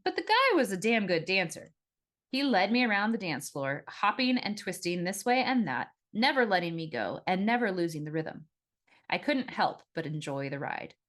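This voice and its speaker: A woman reading her own novel aloud as scripted first-person audiobook narration, in an expressive storytelling manner. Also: medium pitch.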